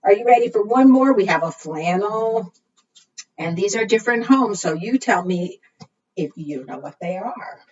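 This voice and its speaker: A woman's voice: medium pitch, animated, moderate pace, a little mellow. A woman reading aloud to young children as a storytime leader, in a sing-song way, often putting questions to her listeners. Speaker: librarian leading preschool storytime. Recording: muffled, distant microphone.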